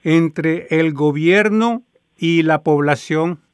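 A man interviewing a guest on the radio, asking measured, polite questions. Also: a little high, smooth, no echo, clean studio sound.

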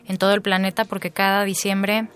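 A young woman from Mexico, a ballet dancer, answers questions in a radio interview. She speaks thoughtfully, pausing and restarting as she goes. Soft music plays faintly underneath. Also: medium pitch, level tone. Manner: thoughtful, hesitant.

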